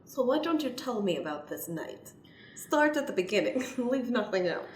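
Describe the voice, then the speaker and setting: A woman's voice: fairly high pitch, sing-song, moderate pace. A woman acts out a brash former dominatrix who now practises law in a comic radio play. She talks in clipped, broken English without articles. The room is echoey, with faint noise behind her. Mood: businesslike. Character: brash ex-dominatrix woman, newly qualified lawyer, foreign-sounding. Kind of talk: improvised comedic radio play acting. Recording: roomy echo, clear background noise.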